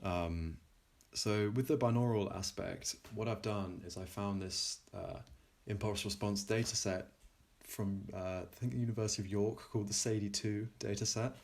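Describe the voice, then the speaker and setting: A man with a low voice narrates an informal technical demo as the developer. He is hesitant, often pausing halfway through a sentence before carrying on with the explanation. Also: fairly even intonation, darkish tone. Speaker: plugin developer presenting a demo.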